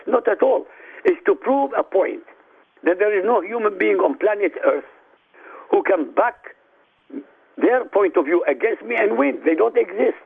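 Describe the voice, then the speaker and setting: An Iraqi-born man whose first language is Arabic, speaking as a guest on a radio talk show in a forceful, declamatory way that addresses the listeners directly. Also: high-pitched, gravelly, husky. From Iraq. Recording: tinny phone line.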